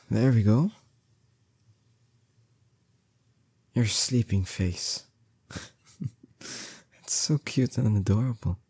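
A young man playing a strong, doting boyfriend in an intimate roleplay audio drama, coaxing and teasing affectionately with drawn-out words. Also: fairly low pitch, a little mellow, slightly rough, dry recording, exaggerated voice. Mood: tender, adoring. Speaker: voice actor.

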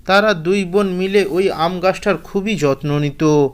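Male narrator for a children's cartoon fable, notably high voice, scripted storybook read. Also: unhurried, smooth.

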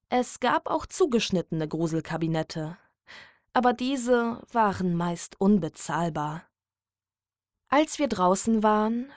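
A woman narrating a scripted horror story in a measured, dramatic delivery. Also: medium pitch, no echo, clean studio sound. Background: music.

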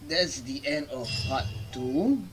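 A man's voice: mid-range voice, animated, slow, rough recording. A young man gaming streamer giving casual reaction commentary. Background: game music.

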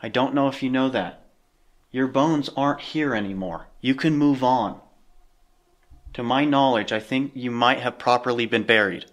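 A young man hosts a casual paranormal investigation in a cave. His voice sits at a middle pitch, and he speaks earnestly, swearing here and there. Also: moderate pace. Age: young adult. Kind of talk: casual ghost-hunting vlog talk. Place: inside a dark cave at night.

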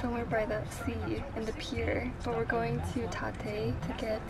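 A woman in her twenties chatting casually with friends as a vlogger, playful and excitable. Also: a little high, unhurried, heavy background noise. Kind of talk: casual chat among friends. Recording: loud music over the voice.

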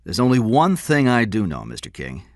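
Casting: hard-nosed, scornful police detective for a crime audiobook, performed by a man, forceful, wide swings in pitch. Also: wildly swooping pitch, normal speed, dry recording, clean studio sound. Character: tough male police detective, blunt, protective.

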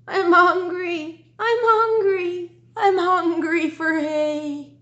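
A woman voicing hungry, impatient farm animals from a children's storybook, loud, whining and demanding. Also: very high-pitched, fairly even intonation, very slow, dull audio, voice pushed up, full character voice. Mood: grumpy, demanding. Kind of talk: storybook character reading for children.

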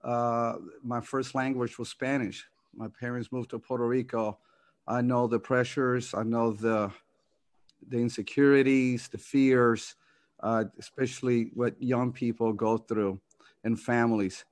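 A man's voice: medium pitch, little pitch movement, a little slow, dry recording. A middle-aged man from New York reading an opening statement as committee chair from his notes over a video call. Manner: reading from notes, with fillers and restarts. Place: home office, indoors.

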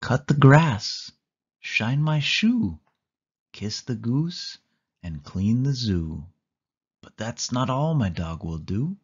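Slow, sing-song man reading to kids. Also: mid-range voice, husky, lo-fi sound. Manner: slow, sing-song storytelling with lively rises and falls. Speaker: storybook reader. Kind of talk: children's read-along narration.

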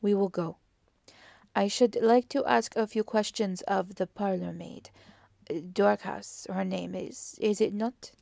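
Storybook male detective, played by a woman: courteous, exacting, self-assured, unhurried delivery with some creak. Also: mid-range voice, warm tone, no room sound. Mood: brisk. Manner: deliberate, formal, exclamatory phrasing. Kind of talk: audiobook character dialogue.

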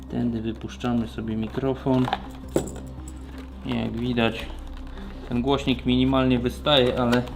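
A man's voice: mid-range voice, darkish tone. A man gives a casual hands-on tutorial as a technician, explaining step by step in a plain, practical way. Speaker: motorcycle shop technician demonstrating installation.

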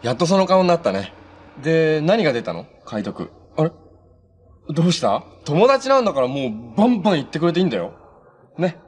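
A lively, cheerful young superhero. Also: male voice, fairly high pitch, brisk, breathy edge. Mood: cheerful, friendly. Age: young adult. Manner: casual, friendly, upbeat. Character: cheerful, earnest young male transforming superhero. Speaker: actor in a TV superhero series. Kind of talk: live-action TV drama acting.

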